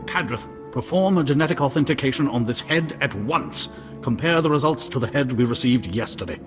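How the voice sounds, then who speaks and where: A man's voice: medium pitch, lively intonation, brisk, over music, natural voice. An actor playing a snooty, easily outraged male museum curator in a video game, with haughty, formal diction. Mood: indignant.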